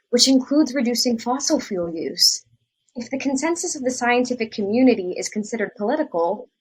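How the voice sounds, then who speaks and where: A young woman science teacher as a woman acts her in a podcast dramatization. Her voice sits a little high, and she speaks earnestly and hesitantly, troubled about doing right. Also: slightly rough, low-quality recording. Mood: worried, defensive.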